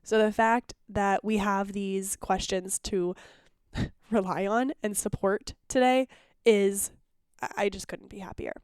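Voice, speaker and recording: A woman's voice: mid-range voice, steady tone, measured pace, a little mellow, smooth, dry recording, clean studio sound. A woman hosting her podcast in a casual, chatty solo monologue, sounding congested and phlegmy. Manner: chatty and candid, with restarts. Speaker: podcast host.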